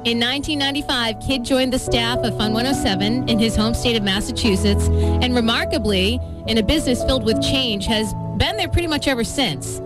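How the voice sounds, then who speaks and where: A woman's voice: fairly high pitch, a little fast. A woman co-hosting a morning radio show, teasing and giggly in her banter. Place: radio studio. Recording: radio broadcast with music underneath.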